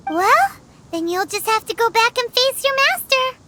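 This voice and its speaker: A woman playing a small, earnest, helpful fairy companion in a video game, with a childlike squeaky voice. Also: very animated, normal speed, sharp tone, a little hiss or hum, exaggerated voice. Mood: sympathetic.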